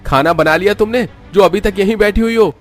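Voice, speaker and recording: Man acting a harsh shouting husband, raised pitch. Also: brisk, faint music behind, natural voice. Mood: angry, shouting. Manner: scolding, domineering. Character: harsh, short-tempered husband shouting at his wife.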